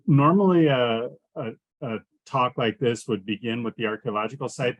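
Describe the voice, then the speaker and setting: A man archaeologist giving a virtual lecture, often pausing with fillers and restarting his phrases. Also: lowish voice, no room sound, low-quality recording. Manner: hesitant, many fillers and restarts. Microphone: computer mic on a video call.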